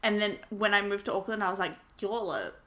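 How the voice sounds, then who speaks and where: A woman's voice: mid-range voice, a little fast, pushed and bright. A young woman from New Zealand with a Wellington accent sprinkled with American words, co-hosting a podcast with playful, insistent chat. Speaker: podcast co-host. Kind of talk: casual chat.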